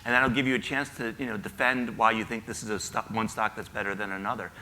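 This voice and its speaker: A man's voice: fairly low pitch, level tone, quick pace, bright, forceful tone, a little hiss or hum, poor audio. A man on a conference panel, explaining his views with enthusiasm; he has a son in college. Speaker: panelist, retirement editor. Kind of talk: casual panel answer. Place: conference hall.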